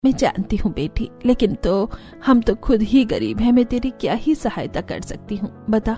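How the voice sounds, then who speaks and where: Woman doing gentle poor village mom for a cartoon, high pitched but mellow, caring. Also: big pitch swings, normal speed, soft background music. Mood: concerned. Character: caring, poor village mother of a grown daughter. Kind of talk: Hindi cartoon story dialogue.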